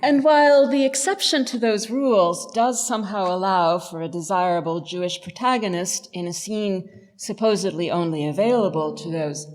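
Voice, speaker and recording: A middle-aged woman giving a scripted lecture as a professor, articulate, emphatic and wryly humorous. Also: medium pitch. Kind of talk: scripted lecture with dry humour. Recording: long hall reverb, faint music behind.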